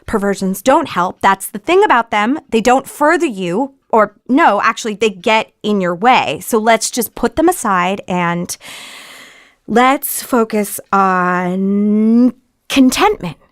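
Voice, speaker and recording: A woman playing an apologetic podcast host who guides a meditation in a hesitant, probing, soft-spoken way. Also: medium pitch, animated, takes it slowly, dry recording, studio quality. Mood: gentle, hesitant. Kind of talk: intimate guided meditation acting.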